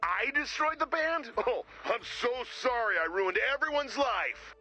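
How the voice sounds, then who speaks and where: An actor playing an excitable, defensive lead guitarist in a video game, with a raspy, whiny voice over radio. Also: male voice, very high-pitched, unhurried, soft background music, heavily acted, low-quality recording. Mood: hurt, defensive. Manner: dramatic, whiny, indignant.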